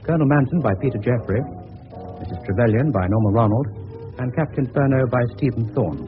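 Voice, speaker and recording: A man with a standard southern British accent reading scripted credits formally as a radio announcer. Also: mid-range voice. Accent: standard southern British English. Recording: narrow phone-like old radio broadcast. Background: music.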